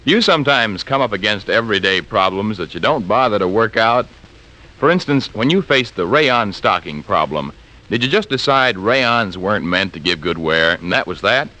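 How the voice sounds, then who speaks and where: A man's voice: fairly low pitch, animated, moderate pace, bright, forceful tone, low-quality recording. A man with an American accent reading a 1940s radio commercial in a persuasive, polished announcer style. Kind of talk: 1940s radio advertising read.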